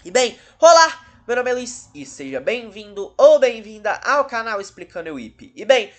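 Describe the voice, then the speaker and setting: A man's voice: extremely high pitch, big pitch swings, moderate pace, a bit bright. A Brazilian man hosting a video commentary, talking casually in a rambling, self-interrupting, dryly sarcastic way. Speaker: host of a video channel about horror cases.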